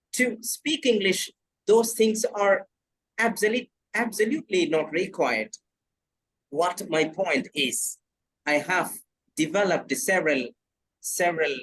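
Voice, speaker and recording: A man from Kerala speaking English with a Malayali accent. His voice sits a little high, and he sounds like he is on a video call. He lectures with earnest insistence and repeats himself for emphasis. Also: a bit bright, poor audio. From Kerala, South India. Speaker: English trainer. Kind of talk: online class discussion.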